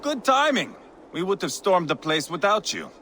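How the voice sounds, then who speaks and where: A mocking, bloodthirsty Viking warlord from a video game. His voice is sharp and forceful and leaps about theatrically, with clear ambient noise behind it. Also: high-pitched, big pitch swings, moderate pace, full character voice. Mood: impatient, scornful. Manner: sardonic, theatrical. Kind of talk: video game cutscene acting.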